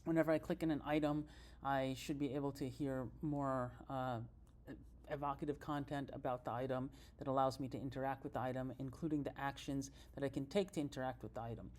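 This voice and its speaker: A man presenting an informal software tutorial, explaining step by step in a halting, think-aloud way. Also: medium pitch, fairly even intonation. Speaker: presenter giving a software demo. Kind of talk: informal screen-reader tutorial narration.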